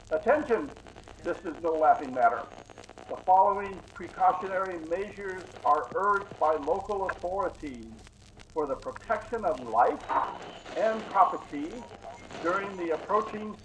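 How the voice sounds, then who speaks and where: Male emergency radio announcer acted: grave, emphatic, slow-paced, noisy recording. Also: sits high, lively intonation. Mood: urgent, solemn. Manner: deliberate, solemn, emphatic. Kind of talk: old-time radio bulletin. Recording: clear background noise on the recording.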